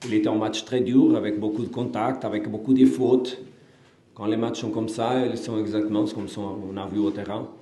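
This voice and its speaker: A man from Portugal, a football coach, speaking non-native French with a Portuguese accent at a post-match press conference, measured and halting with many fillers and restarts. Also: fairly low pitch, level tone, warm tone, roomy sound.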